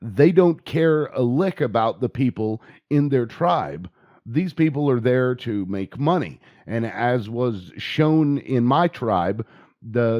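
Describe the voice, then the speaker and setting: An American man talking informally and giving his opinions in a halting way, repeating words as he goes. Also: mid-range voice, dry recording, dull audio. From United States. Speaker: video blogger and activist. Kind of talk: informal opinion monologue and phone inquiry.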